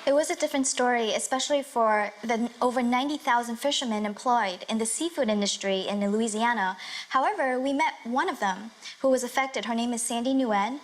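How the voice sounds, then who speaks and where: A woman co-hosting a TV magazine show, presenting smoothly. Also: on the high side, fairly quick. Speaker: TV program co-host.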